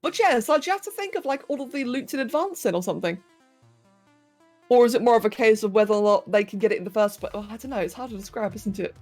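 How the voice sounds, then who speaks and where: A woman's voice: fairly high pitch, expressive, fast talker, darkish tone, soft background music. A young woman hosting a casual livestream, reading chat, greeting viewers and laughing as she talks to her pets. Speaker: livestream host. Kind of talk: casual chat with live chat while sculpting.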